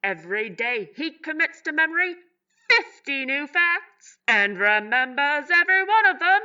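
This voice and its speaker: A muffled, slightly distant recording of a woman acting a music hall emcee in a radio play. The voice is high and swooping, with slow, formal, showy announcements. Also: very animated, sharp tone, full character voice. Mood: grandiose. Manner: theatrical, formal, drawn-out announcing. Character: grandiose music hall master of ceremonies introducing acts. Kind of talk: stage announcing in an audio play.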